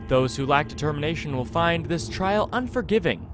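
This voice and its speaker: A man voicing a grave, formal spirit that announces a trial, reading out video game dialogue in a measured way. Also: fairly high pitch, lively intonation, background noise, natural voice. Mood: solemn. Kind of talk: scripted video game dialogue read aloud.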